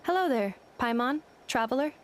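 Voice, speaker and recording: A woman's voice: high voice, lively intonation, normal speed, slight background noise. A woman voicing a polite, literal-minded robot courier in a video game, speaking formally and precisely.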